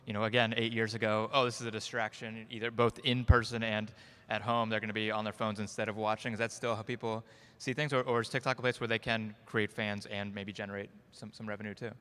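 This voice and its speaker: A man's voice: on the low side, flat delivery, fast talker, bright, forceful tone, clear, resonant tone. A man moderating a conference panel, asking long, searching questions. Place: conference stage. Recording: long reverb of a conference hall.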